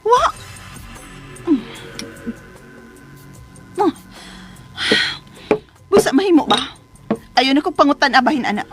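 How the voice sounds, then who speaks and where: A woman's voice: sits high, sing-song, moderate pace, over loud music. A woman acting in a Philippine radio drama as a troubled young wife, defensive and plaintive, with a Filipino accent. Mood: evasive, upset.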